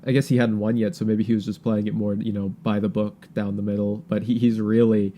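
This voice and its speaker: A man co-hosting a podcast in casual political chat, halting and repeating words as he searches for his thoughts. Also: fairly low pitch, flat delivery, dark tone, muffled recording, a little hiss or hum. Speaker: podcast co-host.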